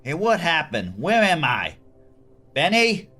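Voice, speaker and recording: A man's voice: on the high side, huge pitch swings, takes it slowly, pushed and bright, breathy edge, soft background music, heavily acted. A man playing a cocky small-time thug who panics easily, in a horror comedy audio drama. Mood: bewildered, alarmed.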